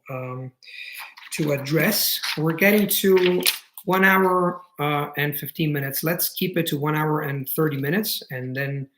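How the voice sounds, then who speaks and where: A man's voice: fairly high pitch, low-quality recording. A man in his thirties with an Italian accent, answering viewer questions in a casual live stream, with many restarts and fillers. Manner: frequent restarts and fillers, thinking aloud; enthusiastic, explanatory.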